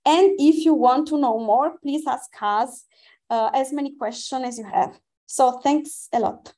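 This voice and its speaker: A woman's voice: sits high, measured pace, warm tone. A young woman speaking as a guest on an online webinar, hesitant, with many restarts and fillers. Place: indoor room, video call.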